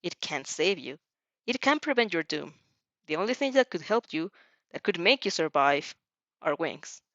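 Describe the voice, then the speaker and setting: A woman reading fan fiction in an expressive storytelling way with casual, chatty asides. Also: lowish voice, normal speed, dry recording. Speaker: fan fiction reader and reactor. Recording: dull, muffled lo-fi recording.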